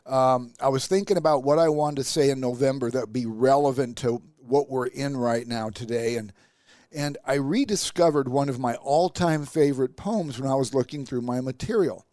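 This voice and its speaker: A man's voice: mid-range voice, normal speed, a little mellow, dry recording, clean studio sound. A man hosting a motivational podcast, sounding nasal from a stuffed-up sinus, talking in an emphatic, rallying way. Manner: emphatic, repetitive, rallying delivery. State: sinus congestion. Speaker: podcast host and motivational speaker.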